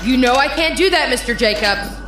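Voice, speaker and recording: A woman's voice: high voice, animated, fairly quick, background noise. A woman playing a weary, bitter psychiatric ward nurse with an emphatic manner in a horror audio drama. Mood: stern, exasperated. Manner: exasperated, emphatic.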